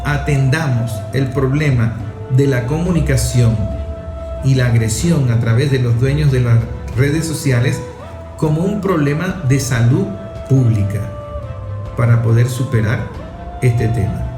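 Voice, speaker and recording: A man's voice: medium pitch, measured pace. A man with a Venezuelan accent, a journalist host giving political opinion commentary in emphatic, repetitive rhetorical phrasing. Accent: Venezuelan Spanish. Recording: roomy echo, faint music underneath.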